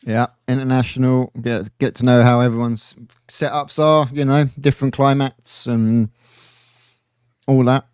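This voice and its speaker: A man co-hosting a casual live stream, mostly chiming in with quick agreeing replies. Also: mid-range voice, flat delivery, a little mellow, clear, resonant tone, dry recording, studio quality.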